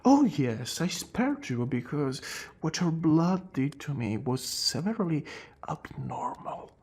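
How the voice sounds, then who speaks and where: A man playing a courteous, calm and wry centuries-old vampire in an ASMR roleplay, speaking in a hushed voice that drifts into whispers with theatrical pauses. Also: medium pitch, gravelly, breathy edge, heavily acted. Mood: grave, intrigued. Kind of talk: ASMR roleplay addressed to the listener.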